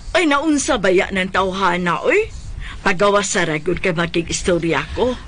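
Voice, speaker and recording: A woman's voice: mid-range voice, expressive, normal speed, a bit bright, slight background noise, lightly acted. A woman playing a chatty, nosy older neighbour aunt in a comic Bisaya radio drama. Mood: breezy, inquisitive.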